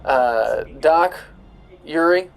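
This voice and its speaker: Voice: mid-range voice, expressive, extremely slow, vocal fry. A tabletop role-player bantering casually, half in character, during a game.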